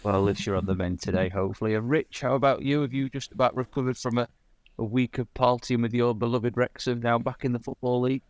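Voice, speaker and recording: An English man hosting a football podcast, introducing guests and asking questions in smooth, prepared-sounding links. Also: mid-range voice, steady tone, fast talker, no room sound. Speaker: podcast host.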